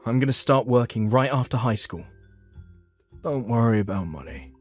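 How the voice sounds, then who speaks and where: A man's voice: mid-range voice, normal speed, faint music behind, lightly acted. A man playing a hardworking, put-upon eldest brother in a manga story, blunt and indignant. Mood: reassuring.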